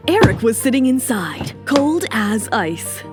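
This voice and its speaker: A woman's voice: on the high side, sing-song, measured pace. A woman reading scripted, dramatic narration for a serialized romance audio story. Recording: music under the voice.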